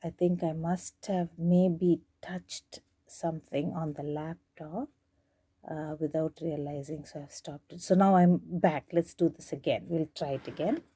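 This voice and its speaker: Unhurried woman giving a hands-on tutorial. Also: sits low, steady tone, warm tone, husky. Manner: hesitant, step-by-step, thinking aloud. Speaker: tutorial presenter demonstrating tape digitizing. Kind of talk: informal unscripted how-to demonstration.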